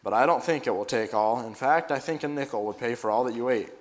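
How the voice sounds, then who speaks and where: A gentle old grandmother out of a children's storybook, kindly yet firm when she scolds, voiced by a man reading aloud. Her voice stays close to his ordinary middle pitch. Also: fast, natural voice. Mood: stern. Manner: kindly and admonishing.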